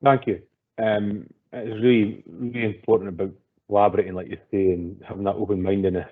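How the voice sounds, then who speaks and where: A man's voice: fairly low pitch, fairly even intonation, brisk, phone-quality sound. A man hosting an online masterclass, thanking the speaker and asking long, friendly, rambling questions full of fillers. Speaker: event host.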